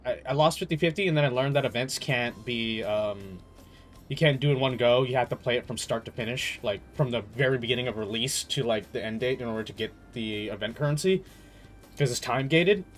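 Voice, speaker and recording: Animated man ranting casually over music. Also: medium pitch, brisk. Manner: animated, slangy, exasperated ranting with swearing. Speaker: game streamer. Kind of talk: casual gaming commentary.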